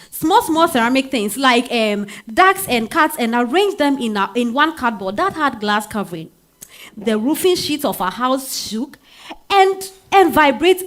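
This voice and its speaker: A Ghanaian woman playing a spirited married woman telling her love story in a dramatized stage reading, with conversational interjections. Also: high-pitched. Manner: expressive, conversational interjections like eh and hmm. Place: live literary festival stage reading.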